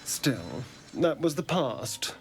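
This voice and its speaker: An actor playing a sardonic, vain vampire in a video game, theatrical, arch and drawling. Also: male voice, medium pitch, very animated, takes it slowly, soft background music. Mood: proud, ambitious. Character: sardonic, vain male vampire, newly free and power-hungry.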